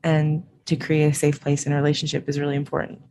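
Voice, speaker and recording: A woman's voice: low-pitched, monotone, fast talker, dark tone, a little hiss or hum. A woman podcast host whose first language was Japanese, talking reflectively in a casual discussion. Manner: reflective, thoughtful, with frequent "like" and "I think".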